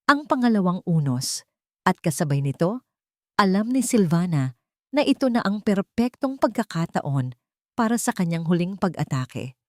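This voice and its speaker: A woman narrating a scripted drama story in an expressive, suspenseful way. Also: lowish voice, normal speed, dry recording, clean studio sound.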